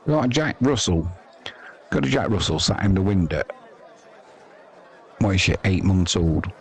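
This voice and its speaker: Deep-voiced man chatting through cricket. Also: expressive, normal speed, husky. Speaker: live cricket commentator. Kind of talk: casual ad-lib sports commentary and chat.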